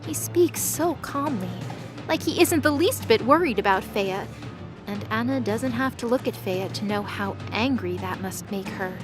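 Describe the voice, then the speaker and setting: A woman reading scripted dramatic story narration in a measured, descriptive storytelling manner. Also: a little high, animated, over music.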